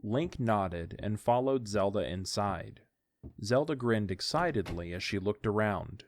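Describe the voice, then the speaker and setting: A man's voice: fairly low pitch, expressive, unhurried, dry recording. A man reading scripted story narration as the narrator of an audiodrama.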